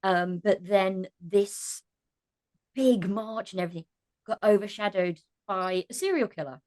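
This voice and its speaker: A woman's voice: mid-range voice, measured pace, a little mellow. A woman talking casually but with passion as a podcast guest, earnest and emphatic, repeating phrases for emphasis. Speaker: podcast guest, cast member of an audio drama. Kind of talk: impassioned casual discussion.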